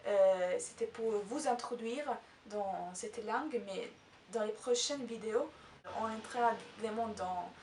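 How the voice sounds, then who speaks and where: A woman with an Italian accent teaches her native language in a relaxed, halting way, full of pauses and filler sounds. Her voice sits a bit high. She is recorded from some distance in a reverberant room, with faint background hum. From Italy. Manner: hesitant, many filler sounds and restarts. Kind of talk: casual teaching vlog. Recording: echoey room, mic at a distance.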